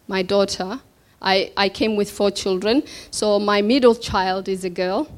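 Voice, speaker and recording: A woman in her forties from Somalia, giving a keynote speech about her life to an audience in a hall, reflective and full of pauses. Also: mid-range voice. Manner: slow, reflective, with many pauses and fillers. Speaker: keynote speaker. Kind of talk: personal story speech. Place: event hall before an audience.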